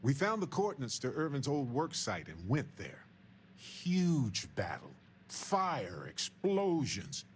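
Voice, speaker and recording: An excitable male space explorer in a video game. He boasts theatrically and playfully, swinging widely in pitch at a slow, drawn-out pace, with faint noise behind him. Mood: playful, excited. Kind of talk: video game character dialogue.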